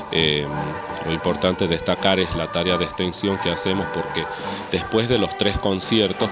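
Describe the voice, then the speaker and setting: An Argentine man with a low-pitched voice explains things in an informal interview, often pausing to find his words. Loud music plays behind him throughout. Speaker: orchestra conductor being interviewed. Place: theatre, on location.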